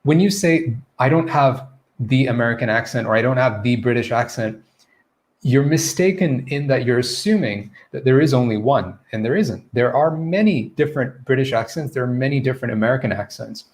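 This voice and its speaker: A man's voice: medium pitch, lively intonation, a little fast. Chatty man teaching a live English lesson. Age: adult, former teacher. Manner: chatty, self-correcting, loose and laughing. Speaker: English pronunciation coach. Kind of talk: casual live-streamed Q&A lesson.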